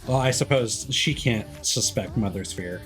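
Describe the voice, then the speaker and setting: A man's voice: mid-range voice, normal speed. A man streaming a video game with casual, musing and mildly critical live commentary. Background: video game music.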